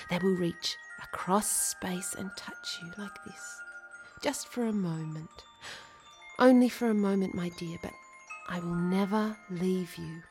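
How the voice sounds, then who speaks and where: An actress playing a gentle young 19th-century servant in a radio play, soft and earnest. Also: female voice, medium pitch, close to an everyday voice. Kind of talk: period radio drama acting.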